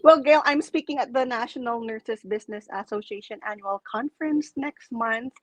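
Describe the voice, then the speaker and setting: A woman's voice: on the high side, moderate pace, no room sound, highs cut off. Chatty Filipino-accented woman on a call. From Philippines. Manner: chatty, enthusiastic, full of "like". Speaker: interview guest, coach. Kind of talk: casual video-call interview. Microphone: video call.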